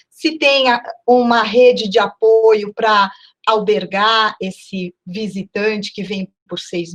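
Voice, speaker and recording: A woman professor from Porto Alegre giving a measured, formal closing talk at an online university event. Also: medium pitch. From Porto Alegre, Brazil. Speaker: guest professor.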